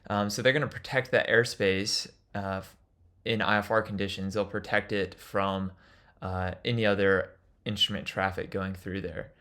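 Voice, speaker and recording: A man's voice: lowish voice, steady tone, moderate pace, bright, forceful tone. A man giving an instructional lecture as a flight instructor, explaining things in an informal way.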